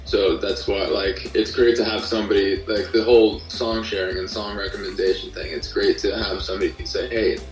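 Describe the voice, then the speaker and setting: A man speaking as an interview guest in casual chat, haltingly rephrasing as he tells his own story. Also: on the low side, flat delivery. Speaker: podcast guest, guitarist. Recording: roomy, distant mic, faint music.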